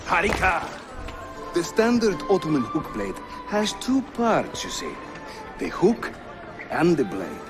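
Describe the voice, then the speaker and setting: An actor voicing a cocky, teasing Turkish assassin guide in a video game, with a Turkish accent and a playful, theatrical, instructive way of talking. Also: male voice, on the high side, wildly swooping pitch, takes it slowly, music in the background, full character voice. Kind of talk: video game cutscene acting.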